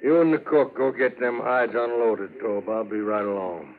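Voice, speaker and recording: An actor playing a callous, gruff buffalo hunter with a rural American accent in a 1950s radio western. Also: male voice, on the low side, fairly even intonation, moderate pace, phone-quality sound, lightly acted. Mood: brusque. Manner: gruff, dismissive. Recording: thin, noisy old 1950s radio recording.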